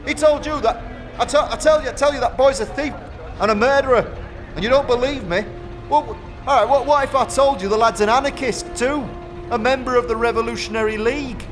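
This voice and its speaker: A man's voice: very high voice, a little fast. A man playing an indignant, blustering accused faction member who splutters and stammers, in a fantasy audio drama. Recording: long reverb, music behind.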